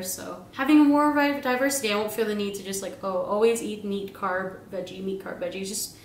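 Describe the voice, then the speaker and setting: A woman's voice: medium pitch, slight background noise. A young woman in her twenties chatting casually through a grocery haul at home, bubbly and giggly, rambling with lots of fillers. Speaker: vlogger. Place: home kitchen, indoors. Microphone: camera a few meters away. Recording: roomy kitchen sound, camera at a distance.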